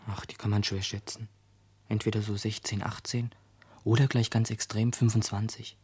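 An actor playing a brooding, blunt teenage boy in a radio play, speaking low-key, hushed and terse. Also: flat delivery, normal speed, breathy edge. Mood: blunt, teasing. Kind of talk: intimate radio play dialogue.

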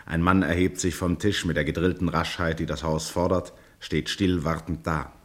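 An actor as a detached narrator of a literary radio drama, reading in a sober, precise, matter-of-fact way. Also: male voice, low voice, flat delivery, moderate pace, lightly acted.

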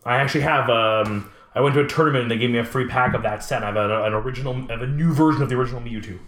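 Fast-talking man in his late twenties. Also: medium pitch. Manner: talkative, animated storytelling, casual swearing. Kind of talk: casual chat about collectibles. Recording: roomy, echoing room sound.

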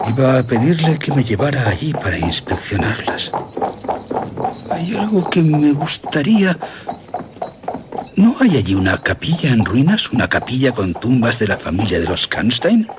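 A grave general played by a male actor speaks through clear background noise. His theatrical delivery swings widely in pitch, with weighty pauses, as a bereaved, bitter man set on vengeance in a radio play. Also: big pitch swings, close to an everyday voice. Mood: dark, resolute. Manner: grave, theatrical, emphatic phrasing with dramatic pauses. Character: grieving, embittered general bent on destroying monsters. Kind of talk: radio drama acting.

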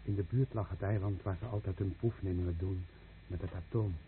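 A man's voice: low voice, steady tone, brisk, natural voice. An actor playing a skittish, stubborn fisherman in a radio play. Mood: matter-of-fact. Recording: tinny, noisy old radio recording.

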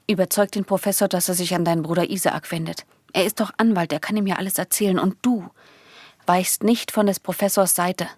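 An actress playing a hardened communist agent in a German radio play, confiding in a condescending, insistent way. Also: female voice, on the low side, fairly even intonation, brisk, darkish tone, no room sound, close to an everyday voice. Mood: uneasy, secretive. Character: hardened female communist agent confiding in a naive young lover.

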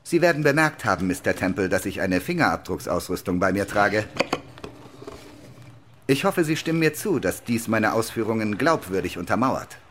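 An actor playing a suave, guarded major with dry irony in a radio drama, speaking in a formal, deliberate way. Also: male voice, lowish voice, big pitch swings, a bit bright, a little hiss or hum.

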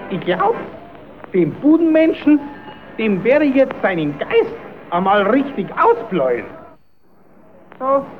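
A man playing a cheeky, scheming, folksy puppet clown in an old children's radio play, exclaiming. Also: very high voice. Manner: exclamatory, self-addressing, stammers when flustered. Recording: narrow tinny old radio recording, hissy.

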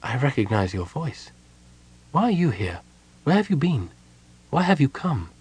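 A man voicing a sorrowful priestess in a storybook reading, murmuring softly with a bitter, weary grace. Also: a little high, very animated, moderate pace, breathy edge, voice pushed up, heavily acted. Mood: wondering. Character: sorrowful, soft-spoken ancient priestess in a young body.

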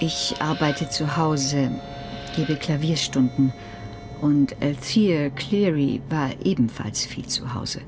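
Slow, low-voiced, hesitant piano teacher. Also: female voice. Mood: hesitant, polite. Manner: polite, hesitant, earnest. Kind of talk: German radio crime drama acting.

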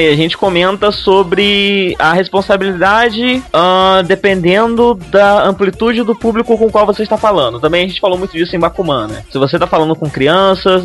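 High-voiced podcast host explaining. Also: male voice, fairly bright tone. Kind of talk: casual chat.

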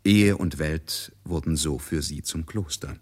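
A man narrating a literary radio drama with wry, measured, ironic commentary. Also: extremely low pitch, breathy edge, exaggerated voice. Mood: measured, knowing.

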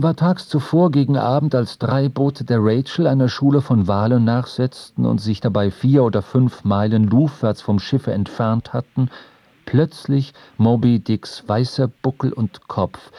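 Mellow, close male narrator. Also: medium pitch, dry recording. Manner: measured, storytelling. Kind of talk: literary audio drama narration.

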